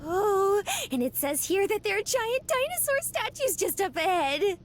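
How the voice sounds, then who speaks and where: Very high, girlish, excitable young woman. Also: a little slow, slight background noise, heavily acted. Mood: excited wonder. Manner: excitable, exclaiming. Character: cheerful, wide-eyed young woman on a road trip. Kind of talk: visual novel character acting.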